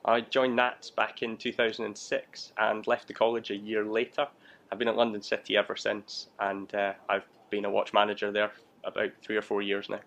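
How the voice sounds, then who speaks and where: A man's voice: fairly low pitch, monotone, normal speed, creaky, fairly bright tone, muffled recording. A man in his thirties, an air traffic control manager, explaining his work in an interview.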